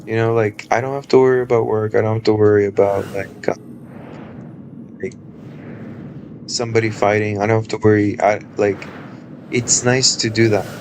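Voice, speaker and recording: A man in his thirties chatting casually on a live stream, loose and rambling with lots of fillers and a low speaking voice. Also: steady tone, normal speed, pauses often, a little mellow, a little hiss or hum. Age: 30s.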